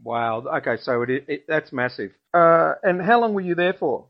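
A man's voice: fairly high pitch, expressive, normal speed, muffled recording. A man interviewing a guest, asking eager, chatty questions.

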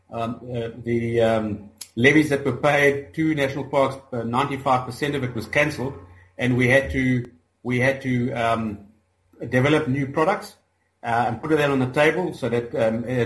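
A man's voice: medium pitch, reverberant, rough recording. A middle-aged South African man, a conservationist guest, chatting hesitantly with many fillers and restarts. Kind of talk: casual interview chat.

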